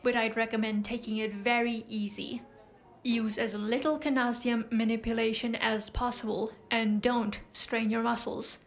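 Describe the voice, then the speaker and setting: A woman playing a composed, knowing doctor in a noir audio drama, speaking in a measured, insinuating way. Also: a little high, flat delivery, close to an everyday voice. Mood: clinical, advising. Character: knowing, composed female doctor with a teasing edge.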